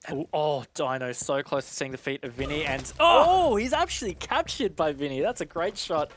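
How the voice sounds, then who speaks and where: A man's voice: fairly high pitch, wildly swooping pitch, moderate pace, fairly bright tone, slight background noise. A man giving live analytical commentary on an esports match in a conversational, explanatory way.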